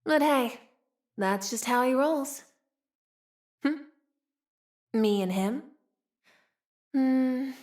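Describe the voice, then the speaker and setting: A woman's voice: high voice, very slow, long pauses, a little mellow. A young woman voicing a playful, streetwise, wry frontier woman with a tail in a video game. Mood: teasing, wry. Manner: teasing, sly, drawling, sighing between wry remarks. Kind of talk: video game character voice lines.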